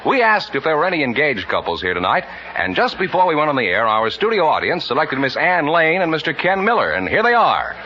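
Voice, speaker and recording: A male announcer presents in formal old-time radio fashion, his voice forceful and slightly high with animated swings. The vintage recording is muffled. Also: brisk, few pauses. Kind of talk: 1950s radio announcing, scripted. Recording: muffled 1950 radio broadcast, faint noise.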